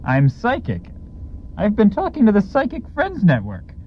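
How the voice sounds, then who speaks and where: A man's voice: high voice, huge pitch swings, normal speed. An actor playing a scatterbrained male band member in a radio sketch. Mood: goofy. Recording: muffled faintly noisy radio recording.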